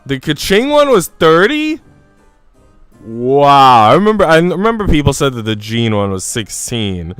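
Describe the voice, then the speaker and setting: A man's voice: mid-range voice, sing-song, a little slow, fairly bright tone, clear, resonant tone, dry recording, soft background music. A man livestreaming a game, talking casually with informal slang and reading story text aloud. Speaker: game streamer.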